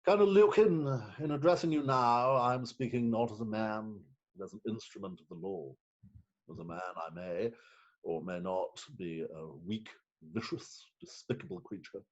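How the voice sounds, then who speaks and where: Man acting a flustered, pompous magistrate, muffled. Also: lowish voice. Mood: pompous, mournful. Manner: pompous, halting, theatrical. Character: flustered, pompous middle-aged male magistrate, anxious and self-pitying. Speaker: actor. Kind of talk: staged reading of stage farce. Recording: dull remote call audio.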